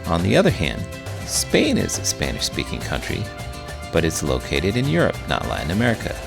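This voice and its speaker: Deep-voiced man reading aloud with lively swings. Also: fairly quick, creaky, music in the background. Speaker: teacher reading children's books aloud. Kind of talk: expressive scripted read-aloud narration.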